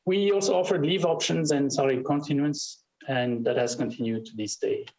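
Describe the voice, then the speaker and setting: Man, 50s, corporate chief, steady scripted testimony. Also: fairly high pitch, poor audio. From Switzerland. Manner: measured, reading scripted remarks. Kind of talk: formal prepared statement. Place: legislative committee room.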